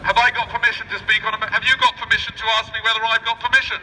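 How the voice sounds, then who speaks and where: A distant, noisy outdoor recording of a man with a high-pitched voice speaking off the cuff through a megaphone. He works the street like a protester, cheeky and provocative, throwing rhetorical questions at whoever is passing. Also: little pitch movement, very fast, sharp tone. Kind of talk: improvised street speech and banter with passers-by. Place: outdoors on a busy city street. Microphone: megaphone outdoors, picked up by a camera at a distance.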